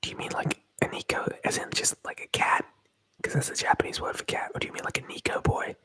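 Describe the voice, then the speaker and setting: A man's voice: quick pace, bright, forceful tone, dry recording. A man whispering through an ASMR livestream, rambling and joking as he reads viewer comments aloud. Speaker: ASMR streamer.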